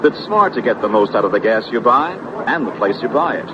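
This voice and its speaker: A man's voice: medium pitch, animated, fairly quick. A man narrating a scripted gasoline advertisement as a commercial announcer. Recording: muffled, very noisy old radio.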